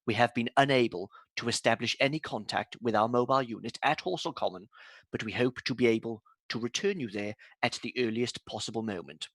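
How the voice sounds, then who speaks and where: A man's voice: medium pitch, fairly quick, no room sound. A man playing a composed radio news announcer who reads bulletins formally, in a 1930s-style radio drama. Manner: formal, even bulletin delivery.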